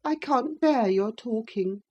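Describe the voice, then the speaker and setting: A woman's voice: on the high side, lively intonation, slow pace, dark tone, lo-fi sound, pitched above the performer's own voice. A woman voicing a sickly, petulant teenage boy in an audiobook, whining and self-pitying. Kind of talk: audiobook character reading.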